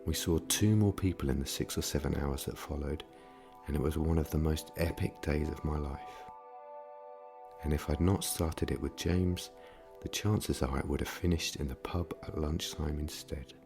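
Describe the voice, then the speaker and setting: A man with an extremely low voice and plenty of vocal fry narrates as a podcast host. He reads a scripted, personal introduction in storytelling style, with music playing clearly behind him. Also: fairly even intonation, moderate pace.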